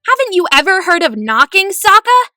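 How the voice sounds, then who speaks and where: A woman playing a caring, easily exasperated teenage sister in an animated comic dub, very expressive. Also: very high-pitched, normal speed, dry recording, studio quality, full character voice.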